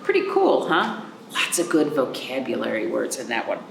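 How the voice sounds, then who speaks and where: A woman hosting a children's storytime, reading aloud and chatting in a sing-song, animated way, addressing young listeners directly. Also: very deep voice, moderate pace, creaky. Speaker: library storytime host. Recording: roomy, distant mic, faint background noise.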